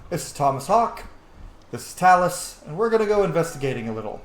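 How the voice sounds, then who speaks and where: A man's voice: fairly high pitch, big pitch swings, fast talker, roomy sound. A man giving casual, playful game commentary as host, reacting aloud with asides. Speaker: gaming video host and player.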